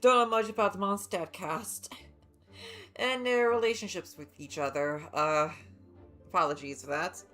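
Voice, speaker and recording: A woman's voice: on the low side, normal speed, bright, forceful tone. A woman livestreamer giving casual gameplay commentary, chatty and musing aloud. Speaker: game livestreamer. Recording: game music behind.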